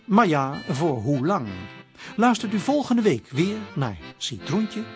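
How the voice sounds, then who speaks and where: A man narrating a radio drama in a scripted, measured storyteller manner. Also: a little high, very animated. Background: music.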